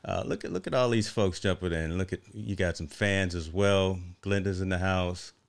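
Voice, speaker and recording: Very deep, smooth-voiced male livestream host. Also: fairly even intonation, moderate pace. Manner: chatty, repeats phrases for emphasis. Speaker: live show host. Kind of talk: casual livestream interview hosting.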